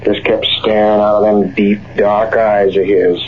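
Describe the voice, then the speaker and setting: A man's voice: fairly low pitch, little pitch movement, a little slow, natural voice. A man playing a folksy cowboy storyteller with a rural Western American accent, spinning a yarn on a muffled old radio western. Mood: folksy storytelling. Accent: rural American West. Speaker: storyteller narrator. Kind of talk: 1930s-40s radio western narration. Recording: muffled old radio broadcast, faint hiss.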